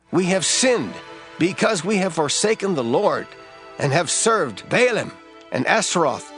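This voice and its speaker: An actor playing an old, gray-headed prophet who addresses his nation in a solemn, stern, declamatory way, as in a scripture drama. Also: mid-range voice. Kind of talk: dramatized scripture acting, oratorical. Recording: music bed behind the voice.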